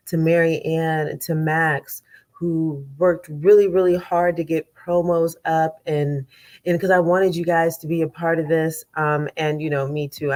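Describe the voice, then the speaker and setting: A woman host with a low voice and a congested, stuffy sound talks loosely to her audience. She rambles, repeats herself and fills pauses with ums as she warms into the show. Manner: chatty, rambling, with many fillers and repeats. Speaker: conflict coach and show host. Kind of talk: casual live show chat.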